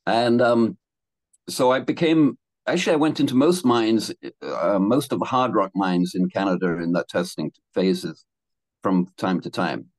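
A man's voice: lowish voice, lively intonation, measured pace, dry recording. Rambling older man from England. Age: 70s. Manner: rambling, laughs between thoughts, many restarts. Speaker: guest. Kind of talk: casual interview storytelling.

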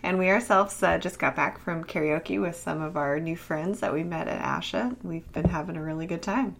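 A woman in her twenties co-hosting a casual podcast from a rented apartment, relaxed and quick to laugh. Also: fairly low pitch, vocal fry, noisy background. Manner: laughs often, relaxed, fillers. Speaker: podcast co-host.

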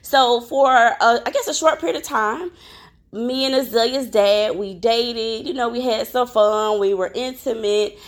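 Woman, 30s, podcast host, slightly crackly worn voice, chatty and upbeat, a bit high-pitched. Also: unhurried. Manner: chatty and upbeat, addresses listeners directly, many fillers. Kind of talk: casual solo podcast chat.

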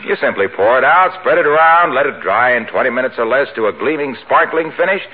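An actor playing a cheery, sly American pitchman pal, delivering smooth sales patter in a 1940s radio comedy. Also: lively intonation, fast talker, natural voice. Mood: salesman zeal. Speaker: announcer and pitchman. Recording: tinny 1940s radio recording, faint hiss.

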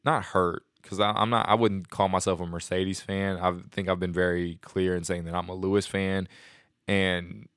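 A man hosting a casual solo podcast, excited and rambling, a little nasal and sniffly from a cold. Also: low-pitched, moderate pace, smooth, no room sound, clean studio sound. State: congested from a stomach bug. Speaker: podcast host.

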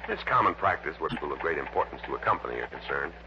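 An actor playing a businesslike American insurance man in a 1960s radio drama, explaining things deliberately and matter-of-factly. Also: very deep voice, animated. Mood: businesslike briefing. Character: businesslike male insurance office man briefing an investigator. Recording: noisy, dull lo-fi old radio recording.

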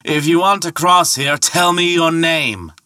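A man plays an insolent ferryman from an old myth. He drawls his taunts with scathing mockery and a sharp, forceful edge. The storytelling is dramatic and recorded dry. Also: mid-range voice, expressive, no echo, recorded from a distance. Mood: haughty. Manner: scathing, slow, mocking drawl.

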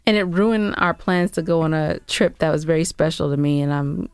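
A woman's voice: sits low, moderate pace, smooth, no echo. An American woman co-hosting a podcast, chatting casually and giving show updates with playful banter. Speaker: podcast co-host.

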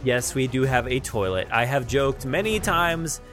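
A man hosting a podcast, chatting casually through news in a halting, think-aloud way. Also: medium pitch, lively intonation, a bit bright, soft background music. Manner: halting, thinking aloud while describing what he sees. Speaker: podcast host. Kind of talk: casual unscripted news chat.

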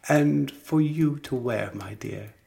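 Man reading a kindly fairy godmother, gravelly creak. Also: medium pitch, slow, warm tone. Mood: assured. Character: brisk, kindly, eccentric fairy godmother, older woman. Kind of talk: storybook character reading.

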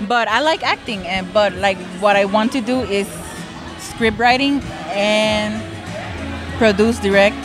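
A woman's voice: mid-range voice, a little slow, music in the background, a crowd in the background. A young woman from Mexico, answering interview questions about her film club in a hesitant way with many fillers. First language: Spanish. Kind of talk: casual interview.

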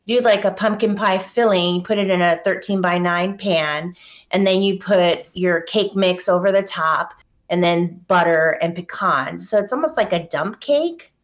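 A woman's voice: lowish voice, moderate pace. A young adult woman from Hawaii, chatting casually as a podcast guest and telling stories with animation. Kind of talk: casual chat.

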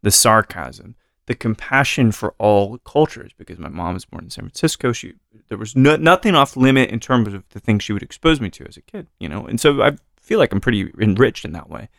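A man's voice: on the low side, sing-song, brisk, gravelly, a bit bright, no room sound, clean studio sound. A man hosting a podcast, chatting casually with a sarcastic, loose manner. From Texas. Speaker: podcast host. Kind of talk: casual interview chat.

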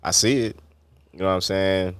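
A man hosts a casual interview, easygoing and encouraging, with halting restarts. His voice sits very low, and the room sound is dry and close. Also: steady tone, no room sound. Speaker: interview host.

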